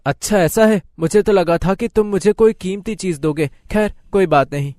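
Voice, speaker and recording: A man's voice: high-pitched, moderate pace, a little mellow, no room sound, clean studio sound, voice pushed up, natural voice. A man voicing an idle, boastful and naive young village son in a cartoon moral story, whiny, self-important and given to exclaiming. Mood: disappointed, curious.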